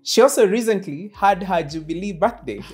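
Host, effusive, repeats words, studio lounge set, clip-on mic, faint music underneath. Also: female voice, medium pitch, expressive, brisk, a little mellow, slightly rough. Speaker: talk show host. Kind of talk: warm formal interview introduction.

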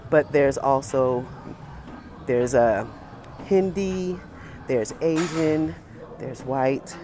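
An American woman in her forties talking casually and emphatically to camera as a fitness vlogger, pausing between phrases. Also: extremely low pitch, mellow. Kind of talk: casual opinionated talk to camera. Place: indoor gym with high ceiling.

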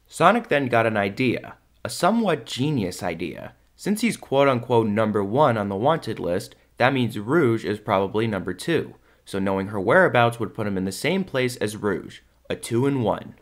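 A man's voice: on the low side, big pitch swings, normal speed, hardly any pauses. A man reading scripted narration as the narrator of an audio drama.

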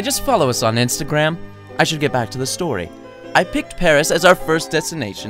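A man reading his own comic story in an irreverent, sardonic, chatty and theatrical way, addressing the listener directly. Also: on the high side. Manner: irreverent, sardonic, foul-mouthed. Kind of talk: comedic storytelling narration.

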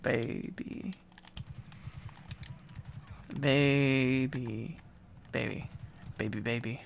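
A young man doing a boyfriend ASMR roleplay, clingy, teasing and silly, rambling playfully with halting repetitions. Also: mid-range voice, fairly even intonation, creaky, a little hiss or hum.

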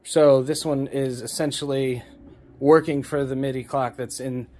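A man's voice: mid-range voice, fairly even intonation, measured pace, mellow. Man, 40s, songwriter, casual vlog, rambling, self-correcting, phone held at arm's length, small room. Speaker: songwriter and producer.

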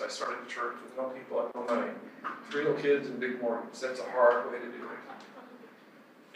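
An older man, a pastor and counselor, telling his story on a church panel in a soft voice, on a handheld mic. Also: medium pitch, a little hiss or hum. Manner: soft-spoken. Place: church stage, large room. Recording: echoey hall, heard from far off.

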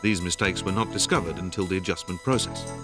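Low-voiced man narrating a training film. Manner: measured, explanatory. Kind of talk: scripted narration. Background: background music.

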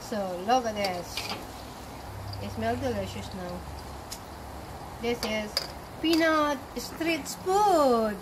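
A woman's voice: fairly high pitch, extremely slow, long pauses. A woman in her forties with a Filipino accent, hosting a cooking vlog in a back garden and chatting casually in a mix of English and Tagalog. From Philippines. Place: small back garden, outdoors. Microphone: camera a few feet away. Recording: outdoor recording with clear background noise.

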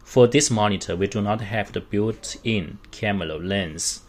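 A man walks through a product step by step in a fairly low voice. He talks slowly and haltingly, in short unscripted phrases with non-native English grammar. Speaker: product demonstrator.

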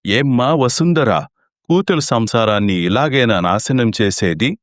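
A man's voice: mid-range voice, animated, a little slow, no room sound, studio quality, close to an everyday voice. A man playing a stern, blunt elderly father in a story, with a commanding, reproachful manner.

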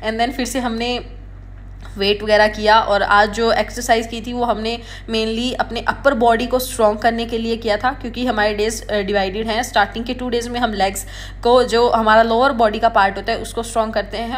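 A young woman in her twenties narrating her daily study and gym routine in a relaxed, chatty vlog voice-over, slipping English words into her talk. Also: fairly high pitch, normal speed. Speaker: vlogger preparing for government exams. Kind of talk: day-in-the-life vlog voice-over. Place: recorded at home after the day.

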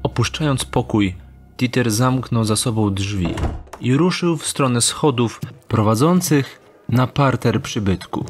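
A man's voice: medium pitch, measured pace, soft background music. A man narrating a fantasy audio drama from a script as storyteller.

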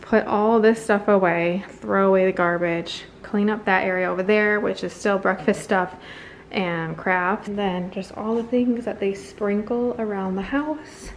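Female home vlogger, 30s, casual and chatty, mid-pitched, slight room noise behind. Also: fairly even intonation, measured pace. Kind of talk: casual chatty vlog talk. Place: indoors in a house living area.

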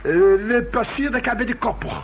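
Radio play role: defensive, stammering young man, high-pitched, dull recording. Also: fairly quick. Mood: hesitant. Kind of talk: radio drama acting.

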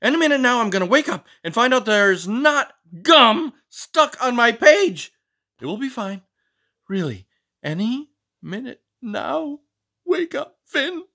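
A man voicing a fussy, excitable, melodramatic neat-freak frog in a storybook read-aloud, full of exasperated exclamations. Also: extremely high pitch, unhurried, voice pushed up, exaggerated voice. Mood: horrified.

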